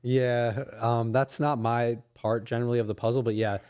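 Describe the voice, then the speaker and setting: A young man from New York sits in as a podcast guest, talking in an easy, relaxed way. He leans on "like" and "um" as he tells his stories, with a pitch that sits in the middle. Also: normal speed, clean tone. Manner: relaxed, filler-heavy storytelling. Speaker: guest, head grower. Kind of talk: casual chat.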